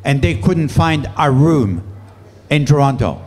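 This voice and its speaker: A man's voice: on the high side, lively intonation, measured pace, darkish tone, long reverb tail. A male political candidate answering a question at a public debate, emphatic and defensive. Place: public meeting hall.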